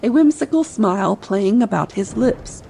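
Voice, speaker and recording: A woman narrates a scripted bedtime adventure audiobook. Her voice sits at a middle pitch, with a faint ambient sea bed running behind it. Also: expressive, moderate pace, creaky, mellow, a little hiss or hum. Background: ambient sea sound bed.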